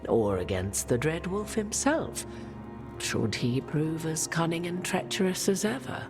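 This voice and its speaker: An actress playing an aloof, knowing sorceress advisor in a fantasy video game, with formal, measured and wry phrasing. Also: female voice, sits low, big pitch swings, over music. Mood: grave, cautioning.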